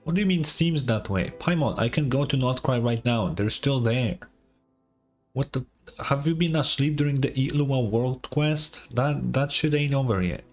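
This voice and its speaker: A man's voice: mid-range voice, moderate pace, soft background music. A man doing casual gaming livestream commentary in a sarcastic, mock-exasperated way, swearing freely. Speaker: streamer.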